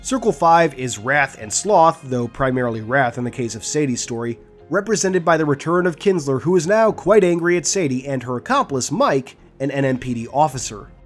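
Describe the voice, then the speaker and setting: A man's voice: mid-range voice, lively intonation, fairly quick, hardly any pauses, soft background music. A man presenting a video as its host, reading scripted explanatory narration. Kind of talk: scripted guide and lore narration.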